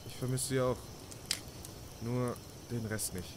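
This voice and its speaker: A man's voice: medium pitch, steady tone, unhurried, background noise, close to an everyday voice. A man voicing a troubled, devout young man in a video game fan dub, hesitant and reflective. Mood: wistful. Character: troubled, searching devout young man, soft-hearted and doubting. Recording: night crickets and outdoor ambience behind.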